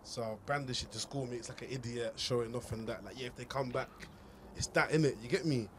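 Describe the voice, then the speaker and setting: A young man rattles off a story fast, full of slang and asides. A clear hum of background noise sits behind his voice. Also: mid-range voice. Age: 20s. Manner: quick, animated slang storytelling. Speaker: guest. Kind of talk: storytelling in casual chat.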